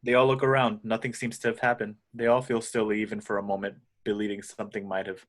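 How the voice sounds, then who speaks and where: A man with a fairly deep voice serves as narrator. He reads out stage directions and action lines from a film script. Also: flat delivery, fast talker.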